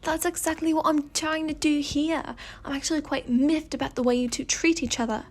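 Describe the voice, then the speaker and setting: Female reader as mystical mirror spirit, British accent, high pitch, playful, pleading, theatrical storybook character. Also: fairly even intonation, a little slow, darkish tone. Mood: exasperated.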